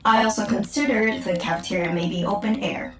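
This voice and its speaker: A woman's voice: medium pitch, steady tone, brisk, echoey room, distant mic, a loud crowd, close to an everyday voice. A woman voicing a new female android who speaks in precise, formal phrases.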